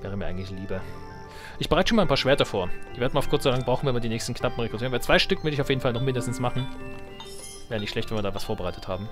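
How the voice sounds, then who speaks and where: A man's voice: mid-range voice, rapid-fire, music in the background. A man giving casual let's play commentary as a gaming host, thinking aloud and addressing his viewers.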